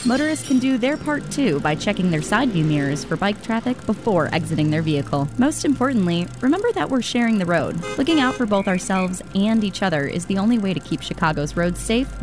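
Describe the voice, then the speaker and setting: A woman delivering a scripted public service announcement for radio. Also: medium pitch. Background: music bed.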